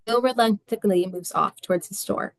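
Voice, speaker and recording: A woman's voice: medium pitch, normal speed, no echo. Woman reading stage directions, very dry sound. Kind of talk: scripted narration at table read.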